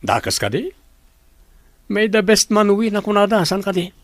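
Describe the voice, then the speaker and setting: A man's voice: high-pitched, sing-song, normal speed, dry recording. Earnest young suitor, acted by a man. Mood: good-natured confidence. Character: earnest young male boss, lovelorn, gentlemanly suitor. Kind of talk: radio drama acting.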